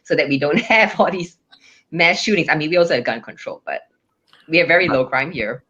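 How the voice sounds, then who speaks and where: A woman with a Singaporean accent co-hosting a talk show, joking wryly in a deadpan way. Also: low-pitched, a little fast, muffled recording. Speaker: co-host, comedian. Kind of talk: casual chat.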